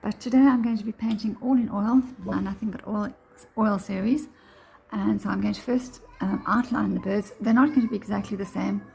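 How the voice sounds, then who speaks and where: A woman with a slightly croaky voice giving a casual step-by-step painting tutorial, thinking aloud in a halting way. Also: mid-range voice, fairly even intonation, darkish tone, rough recording. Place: indoors, home painting room.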